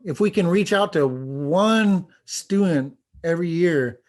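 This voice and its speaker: A man with a somewhat high voice gives a panel presentation as a language teacher. His delivery is earnest and informal, with a halting flow as he works through his points. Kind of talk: presentation with testimonials read aloud.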